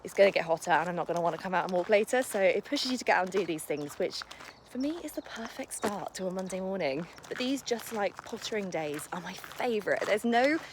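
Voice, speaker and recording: A young woman talks into her phone as she walks her dog outdoors, her voice a little croaky from the early morning. She rambles in a friendly, upbeat way, full of fillers and quick self-corrections. A faint hiss sits under the recording. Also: mid-range voice, expressive. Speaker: lifestyle vlogger. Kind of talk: casual vlog chat to camera. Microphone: phone held while walking.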